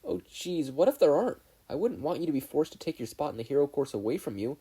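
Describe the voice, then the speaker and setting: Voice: mid-range voice, very animated, fast talker, mellow, slightly rough, voice pushed up. A reader voicing a nervous, earnest teenage boy inventor with an eager, apologetic manner in a fan fiction audiobook. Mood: flustered.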